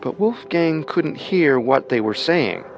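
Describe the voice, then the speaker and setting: A man narrating a scripted true-crime story with measured, dramatic storytelling. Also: fairly high pitch, animated, lo-fi sound, slight background noise.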